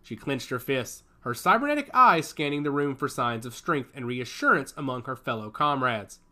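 A man's voice: medium pitch, lively intonation, moderate pace, pushed and bright. A man narrating a story in a dramatic storytelling cadence.

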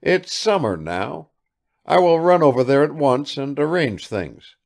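A man's voice: a little high, sing-song, unhurried, gravelly, darkish tone, pitched above the performer's own voice, full character voice. A man reading aloud and voicing an eager, garrulous, good-natured old country father who chatters too much.

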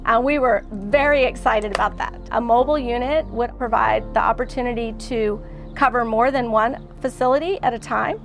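A woman's voice: on the high side, moderate pace, faint music behind. A middle-aged woman, an executive director, explaining earnestly in a seated interview inside a parked van.

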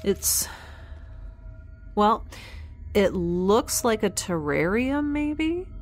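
Woman as slow, halting young space scientist. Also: medium pitch, sing-song, soft background music, exaggerated voice. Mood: curious, observing. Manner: halting, conversational, with sighs and self-corrections. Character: young female space scientist narrating a log, flustered, kindhearted, geeky. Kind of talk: audio drama acting, informal recorded log.

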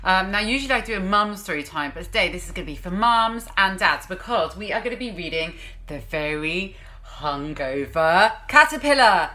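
A woman's voice: on the low side, animated, normal speed, sharp tone. A woman in her thirties hosting a playful storytime, reading aloud with theatrical, exaggerated emphasis and chatty asides. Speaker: parenting vlogger, host. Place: small room at home.

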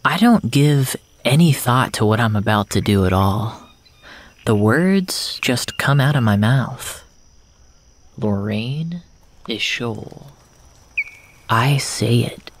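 A narrator reading surreal literary fiction in a measured, first-person storytelling style with dramatic pauses. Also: mid-range voice, expressive. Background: music.

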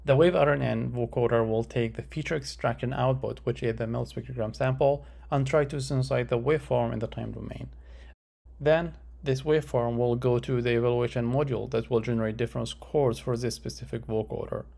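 A man's voice: mid-range voice, steady tone, brisk, creaky, dark tone. A man giving a scripted, methodical academic presentation as a researcher explaining a paper.

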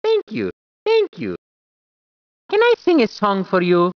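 A man's voice: high-pitched, huge pitch swings, a little slow, no room sound, heavily acted. A man voicing a cheerful, clowning cartoon plumber in a video game, with a put-on Italian accent, squeals and laughs. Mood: playful. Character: cheerful plumber man, jokey, eager and bouncy. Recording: dull, dry game audio.

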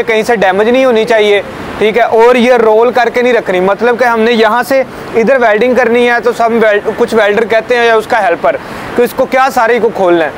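Young male trainer, higher voice, patient explainer, recorded outdoors by a camera a few meters off with light street noise. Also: rough recording. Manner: explanatory, checks understanding with "ठीक है" and "समझ आई है?". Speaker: safety trainer. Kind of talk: hands-on safety demonstration. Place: outdoors beside a building, small group of trainees.

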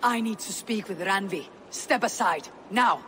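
A woman's voice: medium pitch, measured pace, a bit bright, slight background noise, close to an everyday voice. A woman playing a grim, determined Viking warrior in a video game, speaking in a terse, commanding, grave way. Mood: urgent. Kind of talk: dramatic video game cutscene acting. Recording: game music behind the voice.

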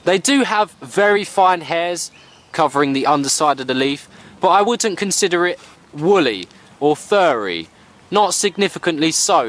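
Man, young, scripted nature voice-over, dry and sarcastic, a bit high. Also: a bit bright. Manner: dry, wry, sarcastic asides. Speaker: bushcraft vlogger.

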